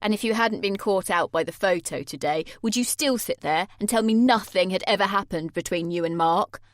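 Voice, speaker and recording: A jealous, violent young man, as a woman reads him, speaks loud, harsh and accusing. The voice stays near the reader's own pitch, pushed hard. The sound is close and dry. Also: brisk, no room sound, close to an everyday voice. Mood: cold.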